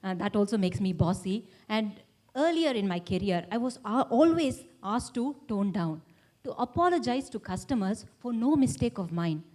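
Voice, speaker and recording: A woman speaking as a panelist, loud and forthright with strong opinions. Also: mid-range voice, big pitch swings, normal speed. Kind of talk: panel discussion.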